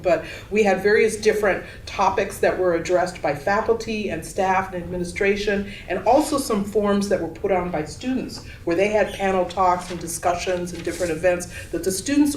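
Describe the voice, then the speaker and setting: A woman artist and teacher speaking in a measured, articulate, explanatory way during a panel discussion. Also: fairly low pitch. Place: gallery room, picked up from a distance. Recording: echoey room, distant mic, background noise.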